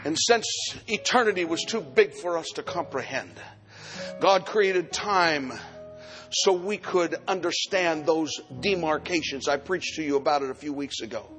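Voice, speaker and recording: A man's voice: fairly high pitch, measured pace. A man in his sixties preaching a live sermon as pastor, with rhythmic repeated phrases. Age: 60s. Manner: rhythmic repeated phrases, swells into chanted shouting. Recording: long hall reverb, faint music behind.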